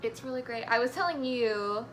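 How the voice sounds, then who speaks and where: A young woman with a fairly high voice joins a friend for an informal book discussion. She gives her views in a tentative, conversational way. There is clear noise behind her. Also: moderate pace, a bit bright. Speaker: guest, friend of the vlogger. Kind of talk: casual book chat. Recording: clearly noisy room recording.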